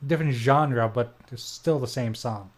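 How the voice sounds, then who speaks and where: A man's voice: mid-range voice, normal speed, slight background noise. A man in his forties chatting on a podcast, telling rambling stories with many restarts. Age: 40s. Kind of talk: casual podcast chat.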